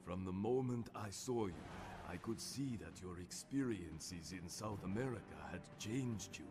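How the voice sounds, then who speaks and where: A man playing a wise, patient old rat master in a video game, speaking formally and with measured care. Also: on the low side, expressive, music in the background. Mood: reflective. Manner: formal, measured, gently admonishing. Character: wise, patient elderly male rat sensei. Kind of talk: video game cutscene voice acting.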